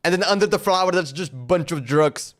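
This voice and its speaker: A man's voice: sits high, brisk, fairly bright tone. A man giving casual, slangy, rambling live reactions as a game streamer. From Slovenia. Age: 20s. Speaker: game livestreamer.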